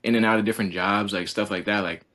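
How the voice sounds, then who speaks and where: A young man in his twenties with a deep, low-set voice. He chats casually and earnestly, stringing thoughts together with endless "like"s and restarts. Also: steady tone, quick pace, bright, forceful tone. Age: 20s. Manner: rambling, heavy use of "like", earnest. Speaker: college basketball player, guest. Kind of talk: casual podcast conversation.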